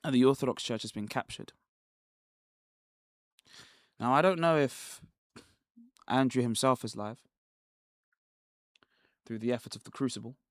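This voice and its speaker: A man from Nigeria hosting a casual commentary livestream, talking in an animated, rhetorical way with laughs between points. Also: mid-range voice, unhurried, pauses often, dry recording, studio quality. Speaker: livestream host.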